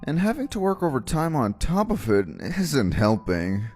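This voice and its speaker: A man's voice: a little high, normal speed, faint music behind. A man voicing an exhausted, polite young office worker who gets flustered, in an earnest manga dub style. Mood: weary, despairing. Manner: earnest, weary, expressive. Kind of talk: anime-style manga dub narration and dialogue.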